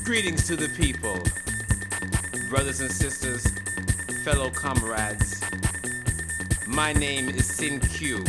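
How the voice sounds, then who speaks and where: Casting: stern revolutionary commander, very low female voice, formal proclamation over loud electronic music. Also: takes it slowly, long pauses. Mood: solemn, accusing. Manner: declamatory, formal. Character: stern guerrilla field marshal reading a communiqué.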